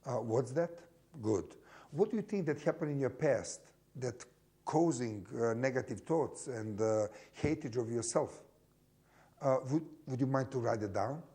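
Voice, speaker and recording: A man with a Serbian accent, a non-native English speaker counseling someone, pausing often and searching for his words. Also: mid-range voice, expressive, mellow, breathy edge. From former Yugoslavia. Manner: halting, searching for words, full of fillers. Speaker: mentor and judo teacher. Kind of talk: counseling conversation.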